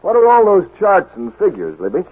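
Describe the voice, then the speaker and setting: A male 1940s radio announcer delivering a sponsor pitch. His voice is very husky and lively in pitch, on a narrow, muffled old broadcast. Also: fairly quick.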